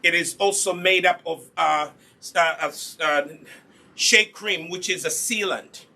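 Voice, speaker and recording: Bright, husky Ugandan man demonstrating live. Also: high voice, unhurried. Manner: instructive, repeats key points. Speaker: host, natural hair product seller and demonstrator. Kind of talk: live demonstration, casual chat with viewers.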